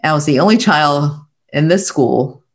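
A woman's voice: low voice, expressive. Reflective Taiwan-born woman telling her story. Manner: reflective, halting, with small restarts. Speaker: guest. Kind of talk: conversational interview storytelling.

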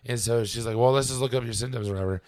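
A man co-hosting a podcast in casual chat, his voice raspy and hoarse from laryngitis, talking haltingly with many ums and trailing off quietly. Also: mid-range voice, dry recording, clean studio sound. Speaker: podcast co-host. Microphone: podcast microphone.

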